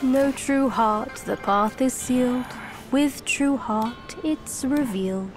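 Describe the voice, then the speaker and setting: A woman voicing a serene, smug boy Buddha trickster in a video game, speaking in measured, condescending riddles. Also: sits high. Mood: serene, teasing. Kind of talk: dramatic video game cutscene acting. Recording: loud music behind the voice.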